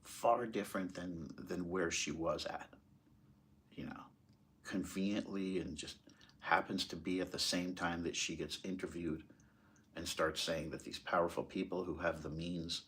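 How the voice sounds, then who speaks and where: An American man with a very low voice delivers impassioned commentary. His tone is husky and grainy. He talks in halting, emphatic phrases, as if working the argument out while he speaks. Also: steady tone, a bit bright. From United States, moved there as a small child. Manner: halting, emphatic, rhetorical questions. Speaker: political commentator.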